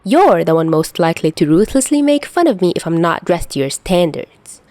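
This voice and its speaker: A woman's voice: on the low side, very animated, moderate pace, darkish tone, dry recording. A woman playing a teasing, nonchalant young man in a story, with a playfully dramatic manner.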